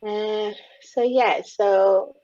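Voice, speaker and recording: A woman's voice: mid-range voice, steady tone, extremely slow, dark tone. A woman, speaking reflectively and openly as an interview guest. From Caribbean, born in Grenada, raised in Trinidad. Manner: reflective, speaks openly about hard memories. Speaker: guest, empowerment coach. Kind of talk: candid interview conversation. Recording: dull lo-fi audio.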